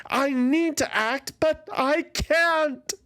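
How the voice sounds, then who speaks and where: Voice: sits high, very slow, fairly bright tone, voice pushed up, full character voice. A narrator voicing an anguished young feathered warrior woman in a fantasy audio story, impassioned and pleading, full of despair and self-loathing.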